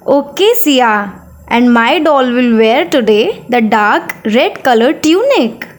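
A woman voicing a cheerful, excited elder sister, a young girl playing with dolls in a children's story, with a bubbly sing-song delivery. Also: a little high, takes it slowly.

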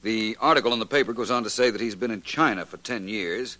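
An actor playing a suave, dryly witty American detective, measured and articulate, in a 1940s radio drama. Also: male voice, on the low side. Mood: matter-of-fact. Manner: measured, articulate, gently ironic.

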